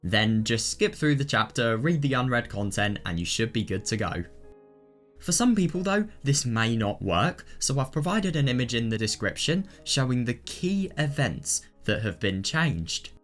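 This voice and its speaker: A man's voice: medium pitch, big pitch swings, moderate pace, a bit bright. A man presenting a video guide in a scripted, methodical, instructional way. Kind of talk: scripted explanatory narration. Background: background music.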